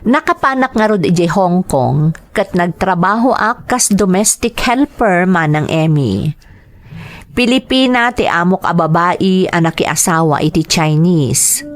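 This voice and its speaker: A woman's voice: on the low side, animated, measured pace. A woman playing a meek, respectful young Filipina domestic helper in an audio drama, with an Ilocano accent. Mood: subdued narrating. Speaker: narrator and lead actor. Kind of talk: dramatized audio story, acted dialogue and first-person narration.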